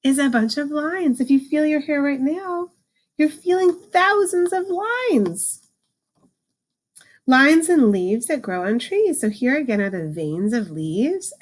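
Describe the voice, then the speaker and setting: A woman reading a picture book aloud to children, adding informal explanations and slowing down for the book's lines. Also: a little high. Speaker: read-aloud host, teacher for children.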